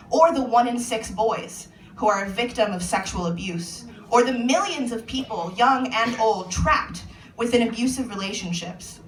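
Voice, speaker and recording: Bright-voiced American woman reading in an echoey room. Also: on the high side. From United States. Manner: measured, earnest spoken-word delivery. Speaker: author reading her work. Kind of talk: reading a monologue aloud at a live event. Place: event venue indoors with an audience. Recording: echoey room, voice far from the mic, faint noise.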